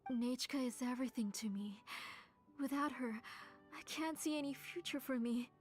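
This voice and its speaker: Grieving, bitter mother in a fantasy game, halting and whispery, high voice, faint music behind. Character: grieving mother who lost her daughter, bitter and broken.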